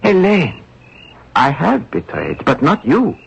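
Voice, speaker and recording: An actor playing an earnest, lovestruck young German soldier in a radio drama, pleading and halting. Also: male voice, fairly high pitch, wildly swooping pitch, gravelly, breathy edge, full character voice. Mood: earnest confession. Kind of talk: old-time radio drama acting. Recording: dull lo-fi radio broadcast recording.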